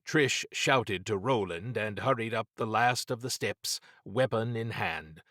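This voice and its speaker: A man narrating horror fiction as an audiobook, with measured, dramatic storytelling. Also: on the low side, a bit bright, dry recording, studio quality.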